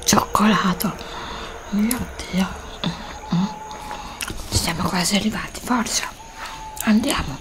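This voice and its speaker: A woman's voice: medium pitch, slow, long pauses, music in the background, pitched below the performer's own voice, close to an everyday voice. A middle-aged woman playing a hungry, wonderstruck traveller in an ASMR roleplay, savouring and exclaiming. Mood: wonderstruck, eager. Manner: savouring, exclaiming, lapsing into whispers. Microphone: close USB microphone.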